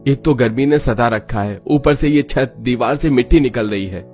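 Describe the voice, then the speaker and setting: A man's voice: mid-range voice, normal speed, darkish tone, soft background music, close to an everyday voice. A man playing a poor, hard-working village husband in a story, plain-spoken, emphatic and easily exasperated.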